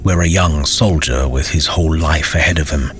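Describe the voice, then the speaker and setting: Very deep-voiced male story narrator. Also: moderate pace, fairly bright tone. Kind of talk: scripted fantasy audiobook narration.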